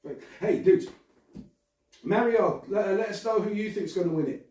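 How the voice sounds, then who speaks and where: A man's voice: high voice, moderate pace, dark tone, far from the mic. Chatty man in echoey room. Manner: laughing, rambling, swears casually. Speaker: podcast host. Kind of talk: casual chat.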